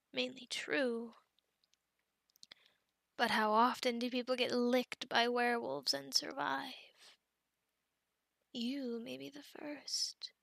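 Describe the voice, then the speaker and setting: A woman playing a possessive, obsessive female werewolf in an ASMR roleplay, often whispering, formally worded and softly menacing, close to the microphone. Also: high-pitched, no room sound, heavily acted. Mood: teasing, tender. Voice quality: breathy whispering. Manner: slow, formal wording, soft menacing coaxing, often whispering.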